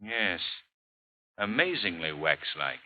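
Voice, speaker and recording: A muffled 1930s radio broadcast presents a gentleman detective. His voice is low, with wide, expressive intonation. He is courteous, observant and composed. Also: takes it slowly, slight background noise, heavily acted. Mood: calm, observant. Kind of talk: 1930s radio drama acting.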